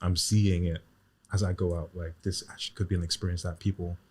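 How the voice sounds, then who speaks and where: A man's voice: low-pitched, flat delivery, darkish tone, husky. A man from Ghana, a voice artist, talking reflectively as a guest in a casual podcast chat. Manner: reflective, measured.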